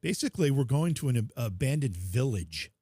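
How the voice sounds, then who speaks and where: A man's voice: mid-range voice, very animated, brisk, dark tone, breathy edge, dry recording, clean studio sound. A man co-hosting a casual podcast livestream, teasing his partner and reading out thank-yous to supporters in a chatty way. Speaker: podcast co-host.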